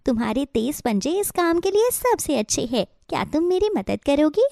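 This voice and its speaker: A woman voicing an eager, determined little female bird in an earnest, expressive way for a children's cartoon story. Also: high voice, normal speed, vocal fry, no echo, clean studio sound, exaggerated voice. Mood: eager, happy.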